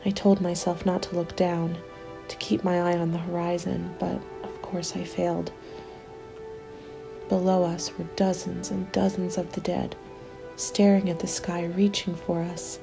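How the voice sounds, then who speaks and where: A woman playing a weary but hopeful zombie-apocalypse survivor reading out a winter journal, in a soft, breathy, intimate ASMR roleplay style that often slips toward a whisper. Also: lowish voice, little pitch movement, a little slow, music in the background. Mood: somber, reflective. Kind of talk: ASMR roleplay, scripted dramatic narration.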